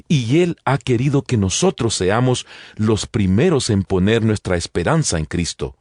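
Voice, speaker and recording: A man with a low voice narrates scripture in a dramatized audiobook style. His delivery is solemn and declamatory, with lively, wide-ranging intonation. Music plays clearly behind him. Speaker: Bible narrator. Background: background music.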